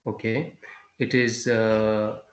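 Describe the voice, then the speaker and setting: Male teacher, low voice, halting classroom delivery, echoey room. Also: little pitch movement. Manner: halting, many fillers and restarts, quizzes students. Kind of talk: classroom lesson with questions.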